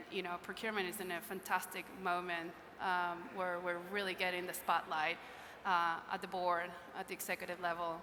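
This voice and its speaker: A woman on a panel talks conversationally, leaning on fillers and checking in with "right?". Her voice is bright and forward. A long hall reverb and faint ambience surround her. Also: lowish voice, slight background noise. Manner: conversational, many "um"s and "right?" tags. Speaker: procurement executive panelist. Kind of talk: conference panel discussion. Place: conference stage in a large hall.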